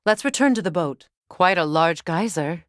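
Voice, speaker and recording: An actress voicing a stern, wise warrior goddess in a video game, speaking with measured, formal diction. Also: female voice, on the low side, expressive, no room sound, clean studio sound, close to an everyday voice. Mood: composed, observant. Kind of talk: dramatic video game voice acting.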